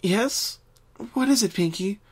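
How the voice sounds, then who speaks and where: Male reader as caring cartoon mare unicorn: raised pitch, earnest, reassuring friend. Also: lively intonation, slow pace, husky, full character voice. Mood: hushed concern.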